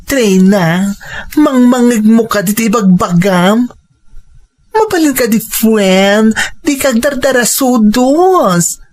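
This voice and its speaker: A woman as a slow, high-voiced, dramatic best friend. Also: expressive, darkish tone. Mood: concerned, warning. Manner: exclaiming, dramatic, admonishing. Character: excitable, cautioning best friend of a young woman. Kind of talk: Filipino audio drama acting.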